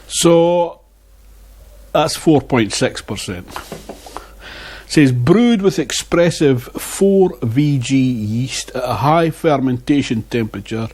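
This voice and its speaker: Man, slow unhurried talk, muttered asides, lapel mic, casual beer tasting vlog. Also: medium pitch, lively intonation, darkish tone. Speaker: beer reviewer. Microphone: wireless lapel mic.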